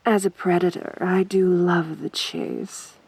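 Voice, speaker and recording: A woman performing an ASMR roleplay as a sadistic, teasing winged siren, speaking softly and breathily with taunting, coaxing mock sympathy. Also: on the low side, little pitch movement, takes it slowly, full character voice. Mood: teasing, predatory. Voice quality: soft, breathy.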